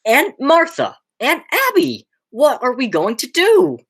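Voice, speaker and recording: A teenage woman playing an agitated, horrified young man who stammers and exclaims in a high school radio play. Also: very animated, a little slow, a bit bright, breathy edge, exaggerated voice. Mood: horrified, frantic.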